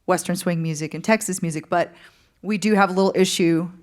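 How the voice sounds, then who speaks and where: A woman singer-songwriter from the Upper Midwest, answering interview questions on stage in a relaxed, hesitant way. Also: on the low side, a little fast. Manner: relaxed, hesitant, laughs easily. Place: stage in a concert hall.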